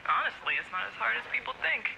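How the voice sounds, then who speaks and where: A sly, theatrical supervillain played by an actor confides with playful boasting. The pitch swings widely, and the analog horror recording has clear noise, like a radio tape. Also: big pitch swings, quick pace. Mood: smug menace. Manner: sly, theatrical, mock-casual.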